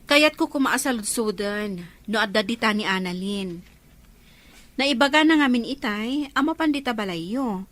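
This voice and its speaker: A woman acts a concerned friend in a Filipino radio drama. Her voice is forward and bright, slightly high, with a Filipino accent. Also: expressive, normal speed.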